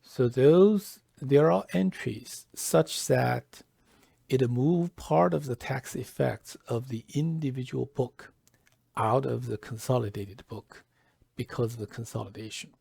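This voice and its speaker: A man presenting a software tutorial step by step, halting and deliberate, often pausing mid-phrase. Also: mid-range voice, gravelly, breathy edge, no room sound. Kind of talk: step-by-step tutorial narration, lightly scripted.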